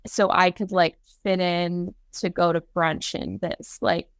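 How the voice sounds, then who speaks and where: A woman's voice: lowish voice, flat delivery, measured pace, gravelly, clear, resonant tone, dry recording. A woman hosting a podcast, chatting casually and peppering her speech with "like" and the odd swear. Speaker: podcast host.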